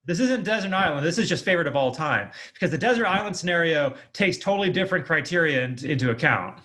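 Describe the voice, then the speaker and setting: Higher-pitched male podcast host. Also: expressive, quick pace, fairly bright tone. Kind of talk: casual conversational interview.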